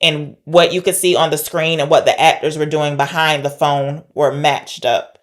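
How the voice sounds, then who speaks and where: A woman's voice: deep voice, fairly even intonation, moderate pace. Casual woman podcast co-host. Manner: informal, conversational retelling. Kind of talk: casual film discussion.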